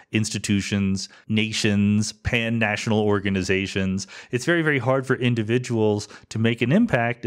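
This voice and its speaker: A man hosting a podcast interview, asking questions in a thoughtful, loose, discursive way. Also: on the low side. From United States. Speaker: podcast host.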